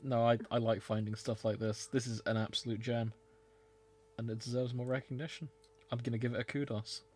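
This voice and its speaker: A man's voice: medium pitch, level tone, brisk, darkish tone. A man hosting a podcast in an informal, amused, chatty way. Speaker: podcast host. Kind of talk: casual comedic podcast chat.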